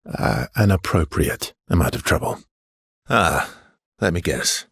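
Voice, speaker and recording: A weary video game swordsman with a low, gravelly voice, heavily husky and worn. He talks in an understated, guarded way, with hesitations and a dry, teasing fondness underneath. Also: lively intonation, measured pace, a bit bright, no echo, exaggerated voice. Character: world-weary adult male expedition swordsman, guarded but wryly affectionate. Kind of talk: video game character dialogue, conversational.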